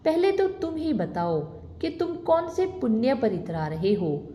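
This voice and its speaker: A woman's voice: on the high side, very animated, unhurried, dark tone, slight background noise. A woman voicing a stern divine voice from the sky that scolds and questions. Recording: echoey reverberant room.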